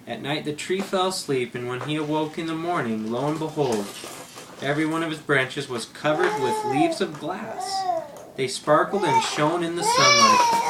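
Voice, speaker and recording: A man reads a children's tale aloud in an expressive storybook manner. His pitch swings in a lively way. The homemade recording is heavily noisy, with clicks. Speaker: story reader.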